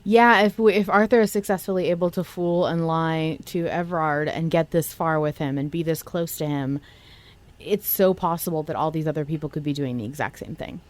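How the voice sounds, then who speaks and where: A woman's voice: deep voice, brisk. A woman co-hosting a fan podcast, chatting casually and using slangy language. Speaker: podcast co-host. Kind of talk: casual fan discussion of an audio drama.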